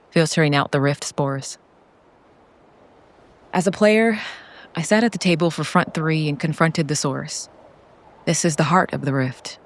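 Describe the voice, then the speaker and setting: A woman narrating a scripted, dramatic solo role-playing story in a solemn, deliberate way with short phrases. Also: sits low. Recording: background music under the voice.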